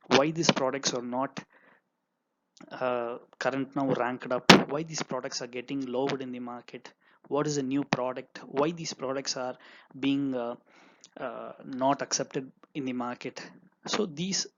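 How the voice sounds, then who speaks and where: Young guy teaching economics, tamil is his first language, earnest, lots of uh's, kinda dull lo-fi sound. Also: mid-range voice. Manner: earnest, repetitive, frequent fillers. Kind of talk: lecture with examples. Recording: dull lo-fi recording.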